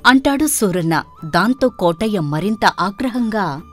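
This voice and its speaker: A woman's voice: mid-range voice, expressive, measured pace, fairly bright tone, soft background music. A woman storyteller reading a scripted moral tale as narrator.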